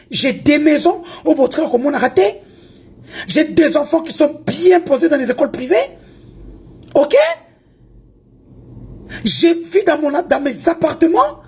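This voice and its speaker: A woman from Congo speaks in a high, pointed voice. She is heated and confrontational, taunting her target as she mixes in a second language. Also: animated, a little slow, long pauses. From Congo (DRC). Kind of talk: heated online rant.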